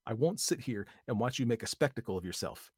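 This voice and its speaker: A man's voice: medium pitch, lively intonation, fast, breathy edge, no echo, pitched above the performer's own voice. A man reading aloud voices a bossy, scornful young woman who snaps and talks vulgar when angry.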